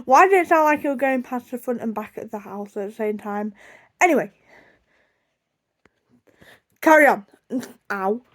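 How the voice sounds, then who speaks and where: Chatty, higher-voiced young British woman. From United Kingdom. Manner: chatty, easily distracted, self-deprecating. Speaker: video blogger. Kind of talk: casual rambling storytime chat.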